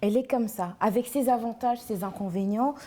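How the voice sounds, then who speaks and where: A woman's voice: a little high, fairly quick, mellow. A woman on a discussion panel, making her points emphatically and repeating them. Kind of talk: studio discussion.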